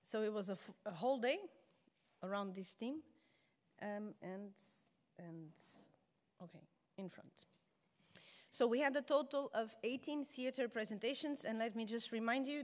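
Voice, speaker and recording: A woman from Portugal, giving a presentation summary at a podium microphone in a large hall, hesitating and correcting herself. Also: mid-range voice. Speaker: conference speaker. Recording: long hall reverb.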